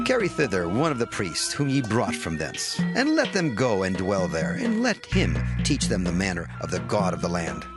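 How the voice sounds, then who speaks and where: A man's voice: low-pitched, huge pitch swings, moderate pace, few pauses. A man playing an imperious ancient king, giving orders in a regal, commanding manner in a scripture audio drama. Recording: loud music under the voice.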